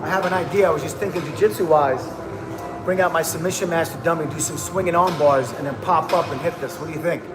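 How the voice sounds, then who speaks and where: A hyped man chats with his livestream viewers in a high voice, tossing out slang and calling everyone bro. His voice bounces around an echoey room, and music plays clearly behind him. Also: fast. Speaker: livestream host. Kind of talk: casual livestream chat.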